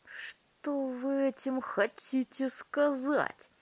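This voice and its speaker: Storybook dad, read by a woman: middle-aged, glum, mellow, slow and grumbling. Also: high-pitched, level tone, dry recording, voice pushed up, full character voice. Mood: bewildered. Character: gloomy, sighing middle-aged father.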